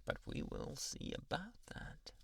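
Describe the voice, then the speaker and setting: A man playing a jealous, obsessively loving anime boyfriend in an ASMR roleplay, breathy and often whispering, slow and menacingly tender, close to the microphone. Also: a bit bright, no room sound, natural voice. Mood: vengeful, quiet. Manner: slow, intimate, menacingly tender, lingering on words. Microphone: very close, dry ASMR microphone.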